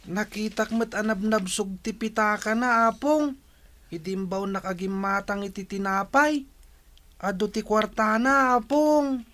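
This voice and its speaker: A chatty village youngster played by a woman with an Ilocano accent and a middling pitch for a female voice. The delivery is lilting and inquisitive, helpful and a little naive, in the style of a radio drama. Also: measured pace, fairly bright tone, natural voice. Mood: curious, helpful.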